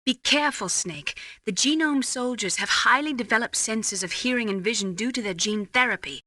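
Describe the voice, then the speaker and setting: A poised military doctor in a game, her voice fairly high and crisp-edged. She stays professional and self-possessed with a cool flirtatious streak, recorded close and dry. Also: moderate pace, bright, forceful tone, dry recording. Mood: cautioning. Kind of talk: video game voice acting.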